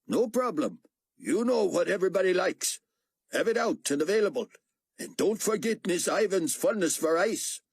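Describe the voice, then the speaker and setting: A man's voice: high voice, expressive, moderate pace, husky, no room sound, studio quality, voice pushed up, heavily acted. A man playing a curt, commanding security chief.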